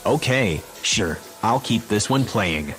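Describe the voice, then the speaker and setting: Sci-fi house computer voiced as a man: lowish text-to-speech male, measured, courteous, helpful. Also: animated, fairly bright tone, faint music behind. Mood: polite, helpful. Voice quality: synthetic text-to-speech sound. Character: polite, calm, helpful male AI house assistant. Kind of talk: sci-fi audio drama.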